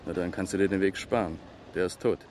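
An actor as a low-voiced, laconic man. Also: quick pace, a little hiss or hum, close to an everyday voice. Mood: deadpan. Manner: laconic, deadpan. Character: laconic, wry male colleague making small talk. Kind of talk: 1970s East German radio crime drama acting.

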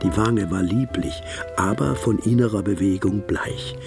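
An actor playing a devoted Victorian gentleman who narrates a German radio drama in an expressive, literary style. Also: lowish voice, measured pace, creaky, loud music behind the voice. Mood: admiring, reflective.

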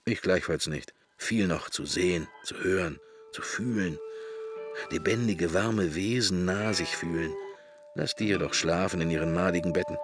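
A man's voice: sits low, moderate pace, over music, natural voice. An actor playing a pensive middle-aged man in a radio drama, musing to himself wryly and morbidly in short, broken fragments. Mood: morbid, brooding. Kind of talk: German radio drama, interior monologue.

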